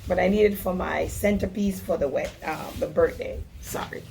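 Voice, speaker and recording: A woman from Jamaica, hoarse, chatting casually through a DIY craft tutorial and explaining each step. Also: lowish voice, steady tone, moderate pace, a little mellow, slight background noise. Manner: chatty and instructive, apologetic about her voice.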